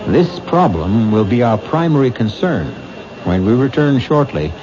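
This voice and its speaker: Theatrical old-time radio host. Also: male voice, mid-range voice, big pitch swings, measured pace, a crowd in the background. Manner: theatrical, ominous. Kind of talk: dramatic radio host narration. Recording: dull old radio broadcast with music.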